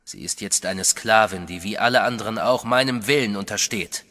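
A cold vampire master. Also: male voice, lowish voice, sharp tone. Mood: menacing. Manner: cold, measured, whispering threats. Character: sinister male vampire master, calm and menacing. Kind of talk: German radio horror drama.

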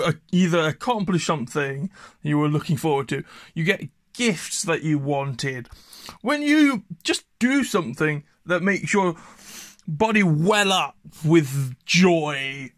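A man presenting a video essay in a casual, thoughtful, pausing way. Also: a little high, a bit bright, no echo, clean studio sound.

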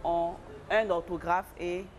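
A woman's voice: on the low side, fairly even intonation, slow pace, darkish tone, slight background noise. A woman teaching a local language class, instructing in an encouraging way.

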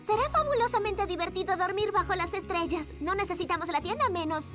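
A plucky young cartoon girl with a very high voice. She puts on a brave face but speaks haltingly, with music clearly behind her. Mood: excited. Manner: halting, stammering bravado. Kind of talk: animated children's cartoon dub.

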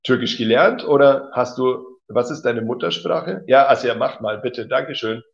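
Gravelly man teaching German live. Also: mid-range voice, moderate pace. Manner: encouraging, says "genau" and "cool" often. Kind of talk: live-stream language lesson reading chat. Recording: dull lo-fi sound.

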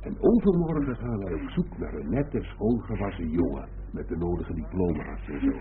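A man's voice: low-pitched, lively intonation, moderate pace. An actor playing a middle-aged, joking father in a radio play, wry and bantering, breezy and affectionate. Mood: jovial reassurance. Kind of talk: Dutch radio play acting. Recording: muffled phone-like old radio recording, hissy.

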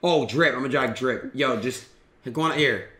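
A young man hosting a casual livestream, loud, joking and swearing a lot. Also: mid-range voice, moderate pace. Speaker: streamer host. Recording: echoey room with crowd noise.

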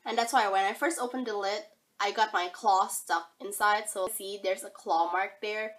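Young woman, husky from a cold. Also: mid-range voice, fairly even intonation, moderate pace, a bit bright. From Indonesia. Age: young adult. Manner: chatty, rambling, upbeat. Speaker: beauty vlogger. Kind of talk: casual product review vlog. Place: bedroom, indoors. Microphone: camera facing her at arm's length.

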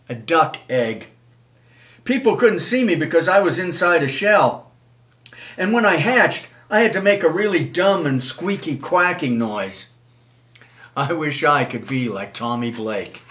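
An older man reads a children's storybook aloud in an expressive, measured way. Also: a little high. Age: older, 70s. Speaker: children's author reading own book. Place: small wood-panelled room, indoors.

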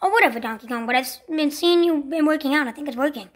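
A woman's voice: high voice, quick pace, lightly acted. A woman playing an exasperated, accident-prone, complaining video game hero in an improvised toy play skit. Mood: grumbling.

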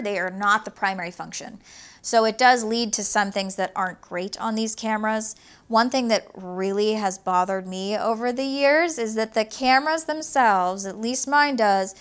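A woman in her twenties with a higher, slightly nasal voice, talking casually to camera about her gear in a small home studio, recorded on a lapel mic. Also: a bit bright, clean tone. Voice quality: higher-pitched voice, somewhat nasal. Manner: chatty, explains gear step by step, gestures with her hands. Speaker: YouTube creator. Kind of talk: casual explanatory talk to camera. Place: small home studio room with shelves, indoors, not soundproofed. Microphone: small lapel mic clipped to hoodie.

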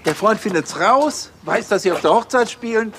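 An actor playing an earnest, touchy police officer who speaks haltingly and insistently in an audio drama. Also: male voice, high voice, animated, very noisy. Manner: halting, insistent, repeats himself.

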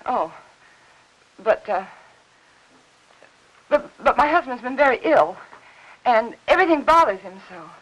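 An actress in her thirties with an American accent playing an anxious, pleading housewife in a fifties film melodrama, halting under strain. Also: on the high side, sing-song, a bit bright, exaggerated voice, poor audio. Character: anxious, pleading suburban housewife guarding a secret. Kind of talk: 1950s melodrama film acting. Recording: hissy old 1950s film soundtrack.

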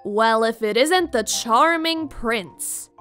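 Animated series: tough, sassy upperclass girl, high and forceful, teasing and blunt. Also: slow pace, soft background music. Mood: brash, irritated. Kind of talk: animated roleplay voice acting.

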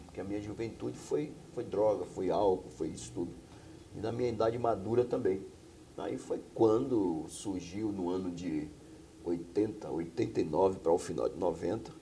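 A middle-aged man from Bahia speaks in a very low, gravelly voice with a dark, mellow tone. He earnestly recounts his past, often ending phrases with little tag questions. A faint hum of studio ambience sits behind him. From Bahia, Brazil. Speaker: pastor and singer, guest. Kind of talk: telling his life story in a studio interview. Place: small TV studio.

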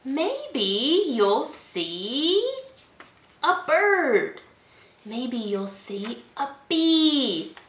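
A young woman, a school librarian, reading a picture book aloud to children in an expressive, sing-song way. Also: high-pitched, very slow, reverberant. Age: young adult. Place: indoor room at home, by a window.

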